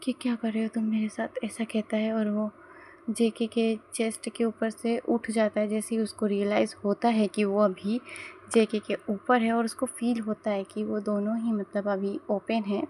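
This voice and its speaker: A woman's voice: mid-range voice, flat delivery, unhurried, dark tone, slight background noise. A woman with a sore throat telling a fan-fiction story in a chatty, casual way. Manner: chatty, mixes in English words.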